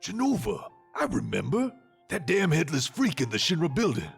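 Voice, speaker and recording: A man playing a brash, hot-tempered rebel fighter in a video game, blunt and excitable. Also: a little high, moderate pace, gravelly, faint music behind, full character voice. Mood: agitated.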